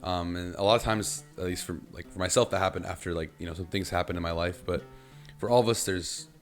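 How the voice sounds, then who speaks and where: A man's voice: deep voice, steady tone, quick pace, soft background music. A young man hosting a faith podcast, chatting casually and loosely, with lots of "kinda" and "like". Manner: conversational, loose with fillers like "kinda" and "like". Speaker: podcast host. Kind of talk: casual chat.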